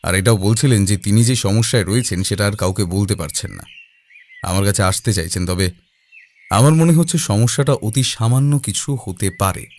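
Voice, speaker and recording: A man playing a calm, observant detective in an audio drama, speaking deliberately with thoughtful pauses. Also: lowish voice. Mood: calm, pondering. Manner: deliberate, pausing, hesitating mid-thought.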